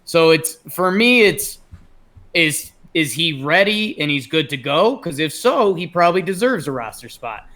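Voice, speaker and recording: A man co-hosting a sports podcast, giving casual analysis while informally thinking out loud. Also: fairly high pitch, lively intonation, measured pace.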